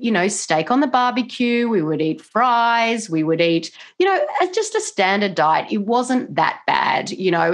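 A middle-aged Australian woman, a mother and nutrition coach, answering interview questions earnestly and with many "you know" fillers. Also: medium pitch. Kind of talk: interview conversation.

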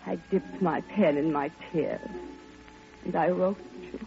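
An actress playing a lonely, grieving, devoted woman in a theatrical, impassioned old-time radio monologue. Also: a little high. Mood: tearful, tender. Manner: theatrical, hushed, impassioned, drawn-out phrasing. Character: grieving, lonely, devoted woman recalling her beloved friend. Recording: dull old radio recording, loud music.

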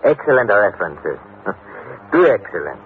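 An actor playing a suave, wary French gentleman with a French accent and formal phrasing in an old radio drama. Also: lowish voice, very animated, measured pace. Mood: grave, confiding. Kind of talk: 1950s radio drama acting. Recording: tinny, hissy old radio broadcast.

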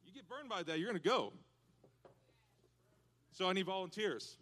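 A man preaching a lively sermon to youth and parents in a church, animated and breaking into loud cowboy shouts. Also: high voice, normal speed. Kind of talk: lively hands-on sermon with props.

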